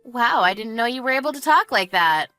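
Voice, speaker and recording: Lady acting fed up wife, sarcastic and smug, sharp bright voice, family drama story. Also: a little high, expressive, a little fast, smooth, faint music behind. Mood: smug, mocking. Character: fed-up, sarcastic young wife taunting her in-law. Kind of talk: acted dialogue in narrated family drama.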